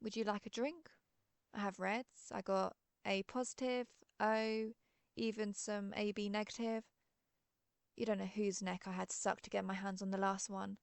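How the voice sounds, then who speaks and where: A woman's voice: medium pitch, steady tone, normal speed, creaky, no room sound, lightly acted. A woman voicing a friendly, theatrical century-old vampire in an audio roleplay, chatty and playfully grand. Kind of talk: scripted audio roleplay monologue.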